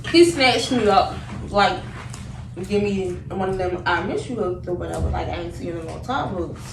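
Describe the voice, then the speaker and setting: A young woman chatting casually as she tells a story, animated, slangy and repetitive in her retelling. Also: fairly low pitch, normal speed, gravelly. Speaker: vlogger telling a story. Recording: roomy, distant mic, noticeable background noise.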